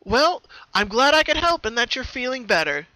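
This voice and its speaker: A performer voicing a caring, sensible young female cartoon unicorn in a gentle, earnest way. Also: medium pitch, sing-song, normal speed, no room sound, voice pushed up, rough recording. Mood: relieved.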